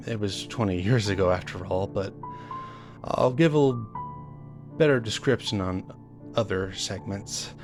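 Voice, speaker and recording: Low-voiced man telling a horror story. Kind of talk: first-person horror story narration, conversational retelling. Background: music under the voice.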